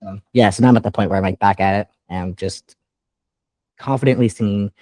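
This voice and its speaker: Animated man with a dry close sound. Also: quick pace, no room sound. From Canada. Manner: animated, laughs often, pitch jumps up when excited; trained classical singer. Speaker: guest musician. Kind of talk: casual interview chat.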